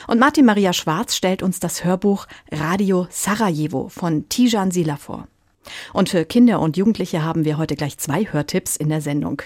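A woman's voice: on the low side, a little mellow, no room sound, clean studio sound. A woman hosting a radio programme, presenting scripted introductions and putting questions to a guest. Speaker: radio host.

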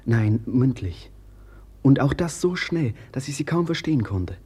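An eager young lad in a radio play. His voice is dark and extremely husky, with an earnest, confidential delivery. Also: mid-range voice, big pitch swings, measured pace, exaggerated voice. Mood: earnest, secretive. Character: earnest, eager youth bringing confidential messages. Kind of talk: classic German radio drama acting.

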